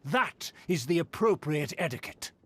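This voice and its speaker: A man's voice: fairly high pitch, lively intonation, normal speed, background noise, natural voice. An actor playing a haughty, easily offended nobleman in a video game, speaking with theatrical condescension. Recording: game music underneath.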